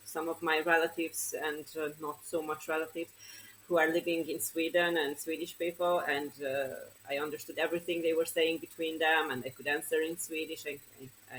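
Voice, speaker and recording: A young woman with a Hungarian mother tongue, talking in a fairly low voice. She chats modestly as a podcast guest and often pauses to find her words. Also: a little hiss or hum, low-quality recording. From Romania. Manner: modest, chatty, with frequent hesitations. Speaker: interview guest, surgeon. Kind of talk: casual conversational interview.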